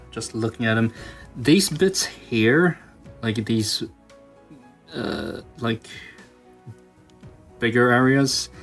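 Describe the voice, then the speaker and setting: A man giving casual, hands-on tutorial commentary as a video host, musing aloud in halting phrases. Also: on the low side. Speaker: toy customizing video host.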